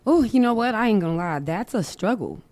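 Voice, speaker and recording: A woman R&B singer as a podcast guest, talking in a candid, slangy way with a low voice and frequent restarts. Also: expressive, a little fast, a little mellow. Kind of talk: casual interview chat. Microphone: studio mic.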